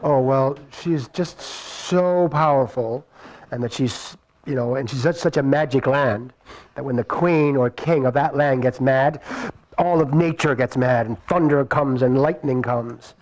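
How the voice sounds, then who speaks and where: A man presenting an informal question and answer session after a show, speaking in a halting, conversational way. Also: mid-range voice, a little mellow, low-quality recording. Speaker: storyteller and show presenter at audience Q&A. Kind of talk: informal spoken Q&A to audience. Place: live venue before an audience. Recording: live room with audience noise.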